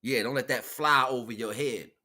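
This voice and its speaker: A man's voice: medium pitch, normal speed, bright, forceful tone. An American man giving a rambling, emphatic, freeform spiritual monologue in casual street slang, swearing freely. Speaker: livestream host, self-styled spiritual teacher.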